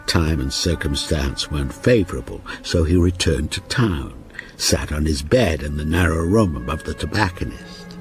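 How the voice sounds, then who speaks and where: An actor playing a lonely, obsessive older man in a radio ghost story, with a brooding, deliberate delivery that repeats broken phrases. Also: extremely low pitch, music in the background. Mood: brooding, wistful. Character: lonely, obsessive, sinister old railwayman ghost narrating his story. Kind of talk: radio drama monologue.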